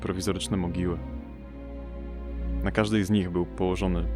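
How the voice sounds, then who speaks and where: Low-voiced man acting a somber investigator. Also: level tone, moderate pace, lightly acted. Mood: somber observation. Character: somber male investigator searching a storm-struck family home. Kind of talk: audio drama first-person narration. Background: music behind the voice.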